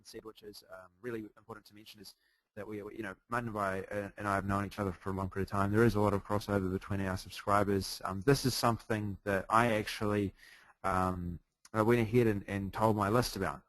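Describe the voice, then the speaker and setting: A man's voice: lowish voice, fairly even intonation, fast talker, fairly bright tone, dry recording, rough recording. A man from New Zealand with a New Zealand accent, presenting a live webinar in an upbeat, chatty way with plenty of fillers, speaking from a hotel room over a computer mic. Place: hotel room late at night.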